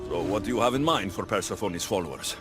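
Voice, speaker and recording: A determined, wary game hero who speaks bluntly. His voice is on the low side and moves around expressively. Music runs behind it. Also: animated, quick pace. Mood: questioning. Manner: earnest, direct. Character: blunt, determined male warrior hero, wary but loyal. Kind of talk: video game cutscene acting.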